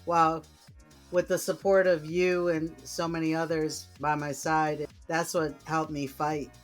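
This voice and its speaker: A woman's voice: low voice, flat delivery, measured pace, soft background music. A woman from Puerto Rico co-hosting a podcast in casual chat, conversational and heartfelt, often saying "you know.". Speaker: podcast co-host.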